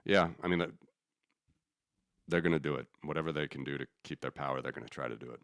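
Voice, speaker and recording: A man with an extremely deep voice talks through market ideas in a loose, rambling way, restarting phrases and giving blunt opinions. The sound is dry and close, with no room around it. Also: quick pace, gravelly, a bit bright, no echo. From United States. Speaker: podcast host. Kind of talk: casual economics commentary.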